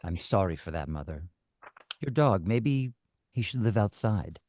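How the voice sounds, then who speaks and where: A man's voice: on the low side, lively intonation, unhurried, darkish tone, a little hiss or hum. A man playing a cold, controlling kidnapper in a screenplay table read, terse and commanding. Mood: falsely soothing. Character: cold, controlling male kidnapper calling his victim "Mother".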